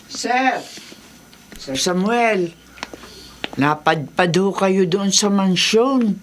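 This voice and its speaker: A woman's voice: mid-range voice, expressive, extremely slow, slight background noise. Worried, respectful village mother. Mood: urgent. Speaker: radio drama actor. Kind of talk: Filipino radio drama acting.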